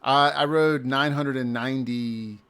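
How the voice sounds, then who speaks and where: A man, a native English speaker, casually walking through a screen-shared recap of a cycling event, often pausing and restarting mid-sentence. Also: mid-range voice, fairly even intonation, a bit bright, clean tone. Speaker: amateur cyclist reviewing an event.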